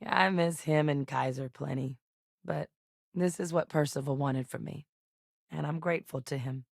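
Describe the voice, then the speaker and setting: A woman voicing a resolute, earnest military scientist in a video game, speaking in a serious, measured and articulate way. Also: low voice, vocal fry, dry recording, clean studio sound. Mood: subdued, reflective. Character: resolute female military scientist and soldier, earnest, duty-bound. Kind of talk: acted game dialogue.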